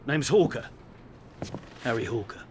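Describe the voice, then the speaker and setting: An actor playing a gruff, wry wartime special agent with a British accent, laconic and understated in a video game. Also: male voice, medium pitch, expressive, unhurried, a little hiss or hum, close to an everyday voice. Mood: calm, wary. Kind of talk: low-key video game acting, muttered narration.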